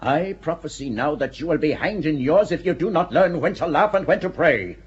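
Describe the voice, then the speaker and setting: An actor playing a worldly, stern middle-aged archbishop who speaks in a measured, authoritative way. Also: male voice, fairly high pitch, expressive, breathy edge. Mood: rebuking. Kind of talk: audio drama acting. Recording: dull audio with faint hiss.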